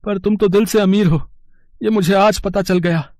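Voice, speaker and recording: A man's voice: sits high, fairly even intonation, normal speed, darkish tone, no echo, studio quality, lightly acted. A man acting earnest characters in a dramatized moral tale. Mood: remorseful. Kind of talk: dramatized story dialogue.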